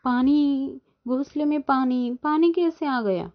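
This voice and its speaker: Lady doing a gentle mama bird for a kids cartoon, earnest motherly talk, lo-fi sound. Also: medium pitch, lively intonation, measured pace. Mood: dismayed. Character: gentle, doting mother bird, easily dismayed. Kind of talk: children's cartoon dubbing. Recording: dull lo-fi sound.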